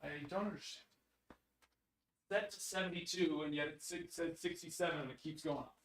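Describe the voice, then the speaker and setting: A man hosting a sports card break livestream, chatting casually with viewers in a slightly hoarse voice. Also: fairly quick, a bit bright. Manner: chatty, rambling, upbeat sales pitches.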